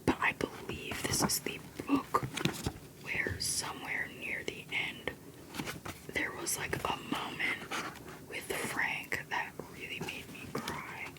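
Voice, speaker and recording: A woman's voice: slow, poor audio. A young woman whispering as she rambles and giggles about favorite books in a casual video. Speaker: video creator. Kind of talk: whispered book-talk ramble.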